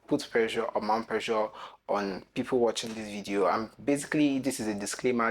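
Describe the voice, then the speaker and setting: A nineteen-year-old man, talking earnestly to camera in a personal vlog. He rambles and keeps falling back on "basically" and "you know". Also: on the low side. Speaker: content creator. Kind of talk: reflective personal vlog talk.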